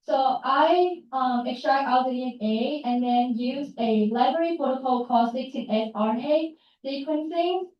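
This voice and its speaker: A young woman presents her research in a large, very echoey hall, caught from a distance on a dull, muffled recording. Her voice sits a bit high, and she talks haltingly, often pausing to find the right words. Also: a little hiss or hum. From Thailand. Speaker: student presenter. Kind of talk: short research talk and Q&A.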